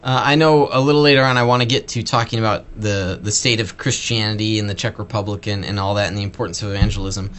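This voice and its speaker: A man hosting a podcast interview, asking curious, loose questions in quick bursts, with many fillers. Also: fairly low pitch, fairly even intonation, a bit bright, slight background noise. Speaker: podcast host. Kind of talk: casual interview talk.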